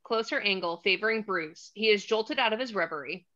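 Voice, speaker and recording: Bright-voiced woman narrating a script. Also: medium pitch, brisk, muffled recording. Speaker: narrator reading stage directions.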